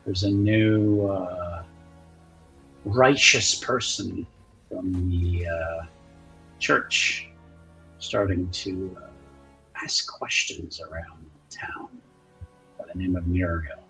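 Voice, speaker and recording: A man acting out a laconic rogue in a fantasy roleplaying game. He is deep-voiced and slow, hesitant and weary, with understated phrasing. Music sits behind him. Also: fairly even intonation, sharp tone, exaggerated voice, low-quality recording. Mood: cautious warning.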